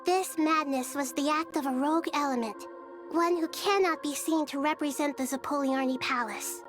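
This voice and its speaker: A woman playing a gentle, wise, childlike female deity in a video game, composed and measured with a playful streak. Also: sits high, music in the background, lightly acted. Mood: composed, formal.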